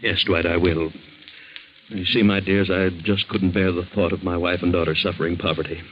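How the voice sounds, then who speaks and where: An actor playing a ruined middle-aged father in a 1940s radio drama, speaking gravely and earnestly. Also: sits low, little pitch movement, moderate pace, slight background noise, natural voice. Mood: grave, confessing. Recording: dull old 1940s radio recording.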